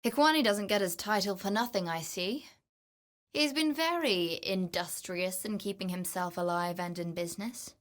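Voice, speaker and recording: A woman's voice: medium pitch, moderate pace, lightly acted. A woman playing a wry, greedy female explorer and treasure hunter in a fantasy video game, with a dry, teasing, conversational manner. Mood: wry, musing. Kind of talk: video game character voice lines.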